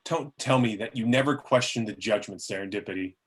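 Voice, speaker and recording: A man's voice: fairly low pitch, fairly quick, close to an everyday voice. A man playing a bitter, scheming male demon and fallen muse in a script table read, speaking in theatrical, grandiose monologue with the odd stumble. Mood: self-justifying. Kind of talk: amateur table reading of a film script.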